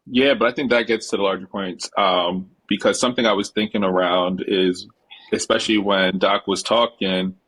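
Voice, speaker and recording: A man giving a measured, reflective point in a casual panel discussion. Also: on the low side, a bit bright, breathy edge, low-quality recording.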